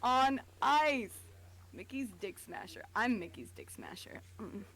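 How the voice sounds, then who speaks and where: High-voiced woman bantering casually on stream. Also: expressive, a little slow, a bit bright. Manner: giggly, joking, profane. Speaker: stream co-host.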